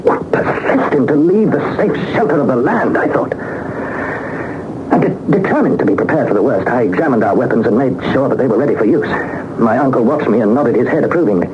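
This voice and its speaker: An actor playing an anxious young British gentleman explorer, narrating his journal formally and deliberately in a 1960s radio serial. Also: medium pitch, expressive, lightly acted. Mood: fearful. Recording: noisy, muffled 1960s radio broadcast.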